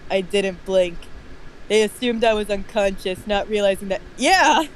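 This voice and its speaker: A man's voice: high voice, moderate pace, low-quality recording. Man reading a story with mocking asides. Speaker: stream host reading creepypasta. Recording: faint background noise.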